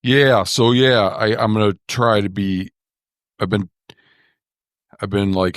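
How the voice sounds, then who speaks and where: Low, slow male host, casual and wry, dead-dry recording. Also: dry recording. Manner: slow, thoughtful, self-deprecating humor. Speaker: podcast host. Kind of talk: casual podcast chat.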